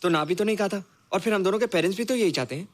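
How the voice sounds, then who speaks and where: An actor playing a brash, jealous young suitor in a film drama, mixing in English. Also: male voice, sits high, racing. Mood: jealous, confrontational. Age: young adult.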